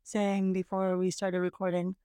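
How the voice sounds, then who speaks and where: A woman talking casually with her brother as a podcast guest, reflective and hesitant, with frequent pauses and restarts. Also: medium pitch, monotone, mellow, clear, resonant tone, dry recording, studio quality. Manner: hesitant, reflective, many fillers and restarts, occasional laughs. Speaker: guest, host's sister. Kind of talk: casual conversation. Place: parents' house.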